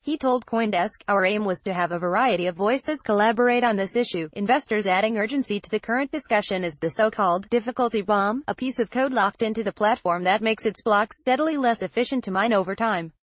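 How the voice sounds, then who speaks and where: A woman narrates a written news report in a formal, read-aloud manner. Her pitch sits in the middle range for a woman, and the sound is very dry, with no room around it. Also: fairly quick, few pauses, no echo. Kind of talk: scripted narration of a news article.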